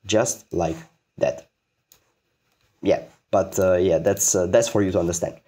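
A man's voice: low-pitched, steady tone, measured pace, dark tone, slightly rough. A man giving an informal programming tutorial, repeating phrases for emphasis and posing questions that he answers himself.

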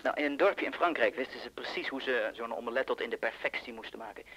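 An actor playing a suave, shrewd Interpol official in a radio drama, measured, urbane and dryly ironic. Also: male voice, medium pitch, sharp tone, breathy edge, poor audio. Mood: connoisseurial. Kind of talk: Dutch radio drama acting.

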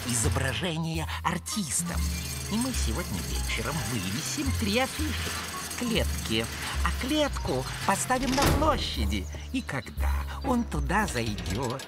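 A man playing a self-important police chief in a cartoon, measured and officious. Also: on the high side, wildly swooping pitch, loud music behind the voice. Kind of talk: cartoon voice acting.

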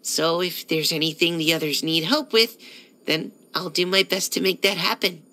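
A timid, well-mannered young male knight from a video game. His voice is bright and youthful, with a crisp, forward edge. He speaks with earnest, hesitant courtesy, always eager to please. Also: a little high, fairly even intonation, normal speed. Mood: admiring, eager. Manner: hesitant, soft-spoken politeness, eager to please. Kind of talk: scripted video game character lines.

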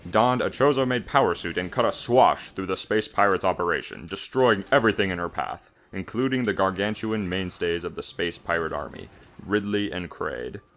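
A man's voice: deep voice, expressive, normal speed, fairly bright tone, a little hiss or hum. A man hosting a gaming show, bantering casually and reading aloud over a video game.

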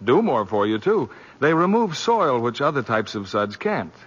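Muffled old radio, male announcer, animated intonation, scripted 1940s sales patter. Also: medium pitch, moderate pace, breathy edge.

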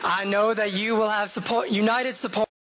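High, bright earnest male politician acted by a man. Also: steady tone, normal speed. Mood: formal, gracious. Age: young adult. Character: earnest, humbled male politician claiming election victory. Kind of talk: staged dramatic reading of political speeches. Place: indoor room at a podium, shared stand microphone.

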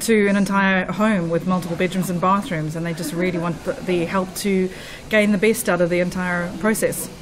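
A woman's voice: fairly low pitch, steady tone, normal speed, few pauses, a crowd in the background, low-quality recording. A woman from Botswana with a mixed international accent, speaking confidently and emphatically as an interior designer guest on a radio show. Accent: mixed international, Botswana and New Zealand.

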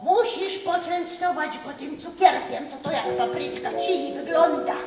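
Woman playing a very high-pitched, folksy wise woman. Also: far from the mic, lightly acted. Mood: bossy, advising. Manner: theatrical, drawn-out, questioning the audience. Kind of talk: improvised stage play for children. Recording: roomy hall with music behind.

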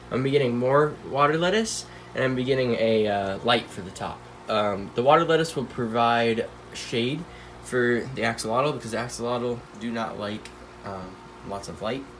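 A man's voice: mid-range voice, normal speed, poor audio. Teen guy w stuffy nose rambling about his pets, phone mic, faint hum behind. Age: teens. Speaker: pet channel host. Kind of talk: casual pet care vlog. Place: home room full of tanks. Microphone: handheld phone filming tanks.

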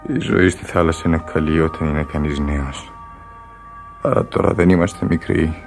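An actor playing a weary, feverish dying sailor in a Greek radio drama, halting and drifting toward whispers, full of regret. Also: male voice, extremely low pitch, fairly even intonation. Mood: regretful longing. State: weak, in pain. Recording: radio play with music underneath. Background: music.

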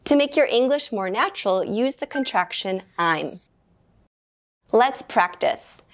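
Young woman, English teacher, unhurried and precise, clip-mic studio read. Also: on the high side, animated. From United States. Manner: slow, clearly enunciated teaching delivery. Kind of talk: scripted beginner grammar lesson. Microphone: lapel mic.

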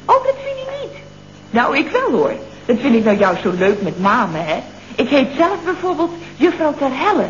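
An actress playing a feisty, gushing single secretary who chatters colloquially in a comedy radio play. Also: female voice, high voice, huge pitch swings, moderate pace. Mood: chirpy, chatty. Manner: gushing, chatty, full of colloquial tag questions. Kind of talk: 1970s Dutch comedy radio play acting. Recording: muffled, noisy vintage radio recording.

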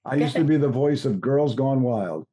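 A man's voice: mid-range voice, lively intonation, normal speed, warm tone, lo-fi sound, slight background noise. Middle-aged man chatting, mid-range voice. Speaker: podcast guest, voice-over artist. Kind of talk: casual chat. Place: home room on a video call.

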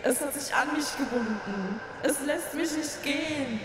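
A woman's voice: high voice, a little slow, over music. A woman voicing the frightened ghost of a young woman, her voice echoing as from a distance. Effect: ghostly reverb. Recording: heavy hall reverb with ambience.

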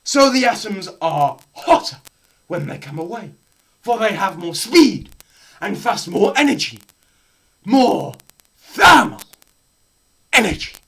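A young man giving a dramatised lecture read aloud, theatrical and emphatic, with deliberate pauses, in a small room. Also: high-pitched, very animated, creaky, a bit bright. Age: teens to young adult. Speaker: presenter. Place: small bare room indoors. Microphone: camera a short distance away.

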